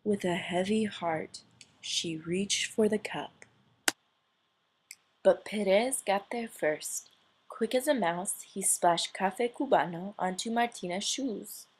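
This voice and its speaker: A woman tells a children's story from a book. She reads expressively at a slow pace, in a mid-pitched voice. The style is that of a storyteller reading aloud. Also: a little hiss or hum.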